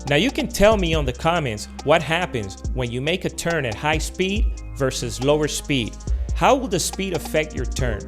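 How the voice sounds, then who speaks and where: Guy hosting an explainer video, voice a bit high, music playing behind him. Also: sing-song, normal speed. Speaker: narrator, aviation channel host.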